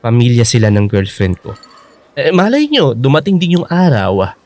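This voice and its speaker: A man's voice: medium pitch, huge pitch swings, normal speed, darkish tone. A man playing a teasing, reassuring young boyfriend with a secret plan in a Filipino radio drama. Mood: firm, pointed.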